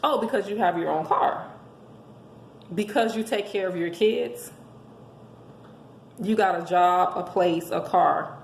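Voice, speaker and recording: A hoarse woman giving an emphatic, preachy motivational monologue, speaking as a host handing out relationship advice to listeners. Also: on the low side, measured pace, pauses often. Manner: emphatic, preachy, rhetorical questions. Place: indoors at home. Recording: echoey room with faint background noise.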